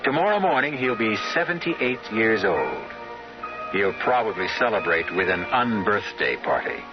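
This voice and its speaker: A low-voiced man narrates as a radio host, theatrical and widely varied in pitch. Music plays clearly behind him on a muffled old broadcast. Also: big pitch swings, moderate pace.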